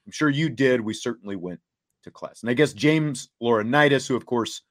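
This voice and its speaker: A man hosting a sports talk show, reading and commenting in a deliberate way, laying out points step by step. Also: mid-range voice.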